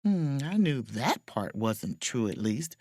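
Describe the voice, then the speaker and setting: A woman playing a wry, self-possessed spaceship officer, narrating her own adventure in an expressive, sardonic audio drama style. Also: extremely low pitch, slow pace, dry recording, full character voice. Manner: dry, sardonic, expressive storytelling.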